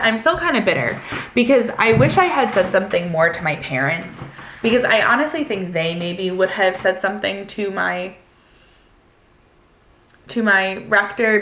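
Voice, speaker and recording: A woman's voice: medium pitch, a bit bright, slight background noise. A woman in her twenties from the United States, telling a personal story in a candid, rambling way with many filler words. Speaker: vlogger. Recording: echoey room.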